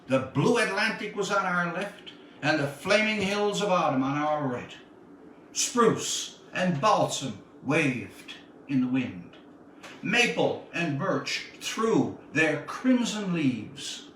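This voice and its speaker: Guy reading his short story out loud, really echoey and a bit far from the mic, dramatic measured delivery. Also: a little high. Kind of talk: literary story reading, first-person narration. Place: indoor room, live stream. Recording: echoey room, distant mic, faint noise.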